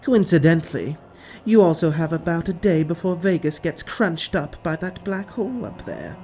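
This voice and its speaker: A man's voice: fairly high pitch, very animated, normal speed, darkish tone, slight background noise, voice pushed up. A man voicing a gleeful, gloating young alien villainess in an audio drama, with a taunting, mock-apologetic manner.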